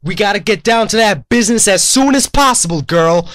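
A man's voice: high voice, animated, brisk, a bit bright. Man playing a cocky, theatrical young mage. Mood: swaggering. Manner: theatrical, sarcastic, swaggering. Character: cocky, smug young male water mage. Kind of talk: comic abridged game parody dub. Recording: music under the voice.

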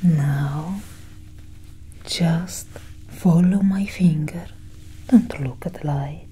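A woman playing a curious, coolly possessive female alien doctor in a soft, whispered ASMR roleplay, breathy and close to the microphone. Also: low-pitched, slight background noise. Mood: calm, clinical. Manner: slow, deliberate, hushed.

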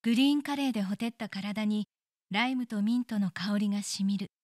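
A woman's voice: medium pitch, fairly quick, a little mellow, slight background noise, close to an everyday voice. An actress playing a wistful young woman recalling a lost love in an audio drama. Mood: wistful, reflective. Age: young adult. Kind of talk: audio drama dialogue and narration.

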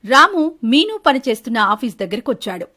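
Female storyteller for an animated moral tale, scripted narration linking the dialogue. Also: on the high side, a little fast.

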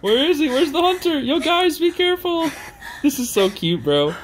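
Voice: sits high, sing-song, normal speed, slight background noise, poor audio. A young adult hosting casual gaming and reaction commentary, gushing in slangy exclamations. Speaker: host of a gaming reaction channel. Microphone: home recording on a makeshift travel setup. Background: music.

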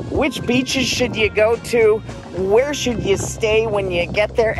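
Man in his forties, chatty travel vlogger, slightly high voice, outdoors on a beach, clear music underneath. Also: poor audio. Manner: upbeat, chatty, repeats words. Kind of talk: walking tour vlog. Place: outdoors on a tropical beach and boardwalk. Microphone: selfie camera at arm's length.

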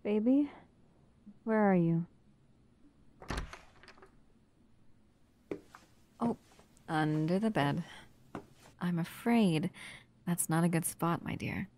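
A woman playing a protective, upset human lover in an ASMR roleplay, stern yet often softly whispery. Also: fairly low pitch, expressive, slow, long pauses, a little hiss or hum. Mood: worried, tender. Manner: soft, breathy near-whispers mixed with stern scolding.

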